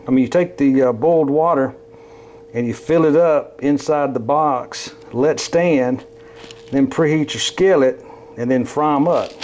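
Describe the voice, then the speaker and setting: Hoarse man chatting through a home demo. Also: mid-range voice, noisy background. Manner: rambling, chatty, self-correcting. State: hoarse from bronchitis. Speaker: camping vlogger. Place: at home, indoors.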